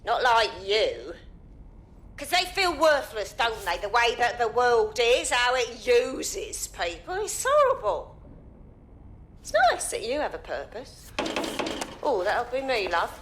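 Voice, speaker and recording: A ruthless crime matriarch with a London accent. Her voice is high and husky, sliding around with sweet menace. She talks down to people with false tenderness, in dramatic video game style. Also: big pitch swings, slow pace, a bit bright, full character voice. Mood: falsely sympathetic. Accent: English, London. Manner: mock-tender, calls people love and sweetheart.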